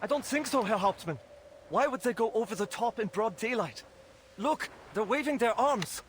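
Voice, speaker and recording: Very high-voiced young private. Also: male voice, expressive, fairly quick, fairly bright tone, slight background noise. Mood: eager, uneasy. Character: young, eager, deferential German private in the trenches.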